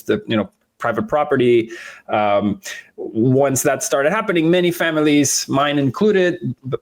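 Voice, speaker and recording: A man in his thirties from Venezuela, a podcast guest explaining his views in casual talk, with many fillers and restarts. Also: mid-range voice.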